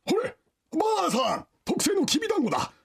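Shrill, bossy old grandpa. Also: male voice, very animated, fast talker, vocal fry, full character voice. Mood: bossy, urging. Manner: exaggerated old-man speech. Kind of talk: comedic radio drama acting.

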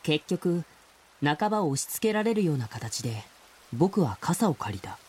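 A woman voicing an earnest, skeptical middle-school boy in an audio drama, acting his part and narrating his story with a husky voice. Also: deep voice, big pitch swings, a little slow, darkish tone, exaggerated voice. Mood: reflective narrating.

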